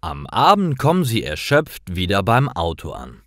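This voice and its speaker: A man narrating simple scripted stories for language learners in a careful, expressive way. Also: lowish voice, normal speed, dry recording, studio quality. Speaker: storyteller for German learners.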